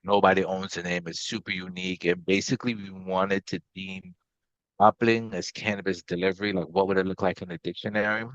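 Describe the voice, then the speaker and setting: A man's voice: sits low, little pitch movement, brisk, fairly bright tone, no room sound. A man from Guyana who later lived in Maryland, explaining things casually as an interview guest. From Guyana, later Maryland, USA. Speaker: interview guest, company CEO. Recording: slightly dull, lo-fi audio.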